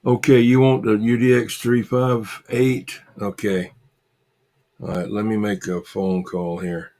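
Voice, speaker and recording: A man hosting a casual livestream, chatting with viewers in a halting, repetitive way and muttering asides to himself. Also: fairly low pitch, flat delivery, warm tone. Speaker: livestream host.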